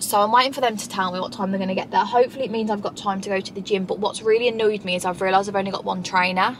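Female vlogger, twenties, English accent, informal and chatty, mid-pitched, recorded with clear ambient noise behind her. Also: a bit bright. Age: 20s. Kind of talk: casual chatty vlog to camera. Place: parked car, later shop, moving car, kitchen.

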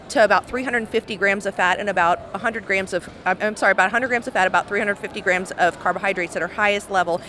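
A woman in her forties explains her coaching approach with calm confidence, her pitch sitting in a middle range. She answers into a handheld mic in a large expo hall. A faint hum runs beneath her voice. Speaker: fitness coach guest. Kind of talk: interview answers. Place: large indoor expo hall, in front of a backdrop. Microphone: handheld microphone held by host.

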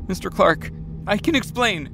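A man's voice: sits high, animated, normal speed, gravelly. An actor playing a secretive teenage boy who is caught out, defensive and pleading in an audio drama.

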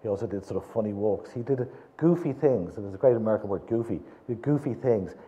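Older Irish man with a dark, dull voice. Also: on the low side, fast talker. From Ireland. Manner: explanatory, animated hand gestures. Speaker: interviewer, gallery director. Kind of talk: public conversation on stage.

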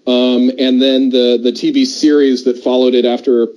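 A man's voice: medium pitch, little pitch movement, moderate pace, dark tone, muffled recording. A middle-aged man with an American accent, chatting casually and explaining things at length as a podcast co-host. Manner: discursive, explanatory. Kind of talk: casual chat.

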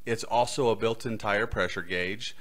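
A middle-aged man speaks as a podcast host, with a somewhat low voice. He reads a prepared personal account into a close boom-arm mic in a small indoor studio. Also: moderate pace, fairly bright tone. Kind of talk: reading a prepared personal story. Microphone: close boom-arm microphone.

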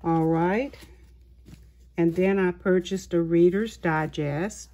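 A woman hosting a haul video of her craft purchases, talking in an unhurried way with many small pauses and fillers. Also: on the low side, mellow. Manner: slow, unhurried, halting with fillers. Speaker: craft channel host. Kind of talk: show-and-tell haul video. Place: indoors at a tabletop, voice-over of hands.

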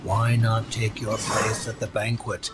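A man performs a commanding dark mastermind in an anime game. The voice is deep and solemn, heard under loud battle music and effects. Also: level tone, measured pace, lightly acted. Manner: solemn, portentous. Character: grave, commanding older mastermind of a dark order.

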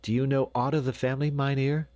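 Storybook peasant mother, played by a man: raised pitch, homely old-fashioned speech, fussy and eager, dry close sound. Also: lively intonation, a little slow, a little mellow, dry recording.

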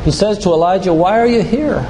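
An older man giving a sermon-like lecture with scripture readings, speaking in a deliberate, emphatic way with pauses. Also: sits high, warm tone. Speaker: physician giving a church lecture. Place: large church room, live talk. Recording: echoey hall with background noise.

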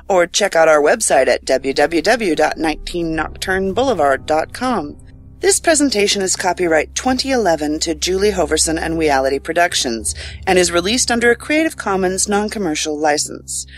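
Low-voiced woman announcing credits. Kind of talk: scripted closing narration for horror show.

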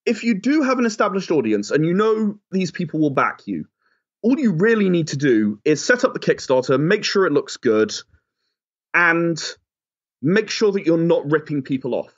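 A man's voice: on the high side, very animated, moderate pace. A man speaking as an interview guest, giving advice and explaining in an earnest, emphatic way. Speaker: interview guest, audio drama and game creator. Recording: slightly dull, lo-fi audio.

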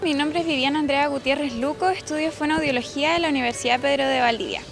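A woman's voice: high-pitched, fast, creaky. A young Chilean woman talking in a chatty, colloquial way into a handheld mic in an interview. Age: young adult. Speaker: pageant contestant. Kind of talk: casual interview. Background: music.

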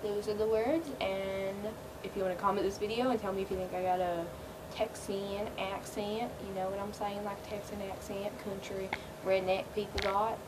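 A woman's voice: flat delivery, moderate pace. A teenage girl vlogging from her bedroom, answering questions in a laid-back, filler-heavy way and trailing off often. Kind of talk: casual Q&A chat. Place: small bedroom, indoors. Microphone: built-in webcam mic. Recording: quiet, low-volume webcam audio with loud hiss.

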